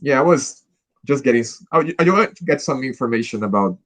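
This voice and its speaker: Brazilian man at a language meetup, halting English with many restarts, dry close sound. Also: medium pitch, lively intonation, a bit bright, no room sound. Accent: Brazilian Portuguese. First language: Portuguese. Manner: hesitant, restarts often, laughs while telling stories. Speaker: language club member. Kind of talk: casual conversation practice.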